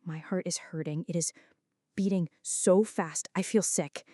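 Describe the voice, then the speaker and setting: Soft, mellow, intimate young-sounding female friend, acted by a woman. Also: lowish voice, steady tone, a little slow, no room sound. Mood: scared, pleading. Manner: soft, close, dramatic pleading and confiding. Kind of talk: intimate ASMR roleplay monologue.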